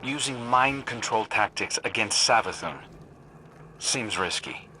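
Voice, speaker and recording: A man's voice: medium pitch, measured pace, sharp tone, a little hiss or hum. An actor playing a hard-edged male field operative in a video game, cool and direct. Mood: wary. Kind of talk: scripted video game dialogue.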